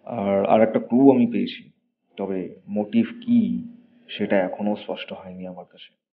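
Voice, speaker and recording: Man playing calm, probing detective, dull recording. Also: lowish voice, a little slow, voice pushed down. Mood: pensive. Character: calm, probing elder-brother detective, thoughtful smoker. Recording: dull recording with faint noise.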